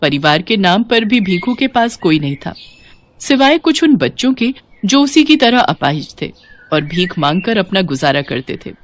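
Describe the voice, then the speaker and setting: उतार-चढ़ाव से भरी महिला कथावाचक. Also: female voice, medium pitch, normal speed. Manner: expressive storytelling. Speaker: narrator. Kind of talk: scripted children's story narration.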